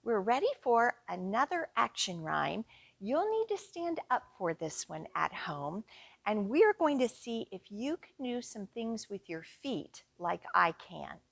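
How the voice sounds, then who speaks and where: Expressive woman reading aloud to young children. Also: medium pitch, gravelly. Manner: expressive, deliberate, instructional. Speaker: children's librarian hosting storytime.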